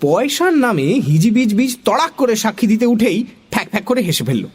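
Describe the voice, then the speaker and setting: A narrator telling a children's comic story in an animated scripted style, with a husky voice. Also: mid-range voice, fairly quick, slight background noise.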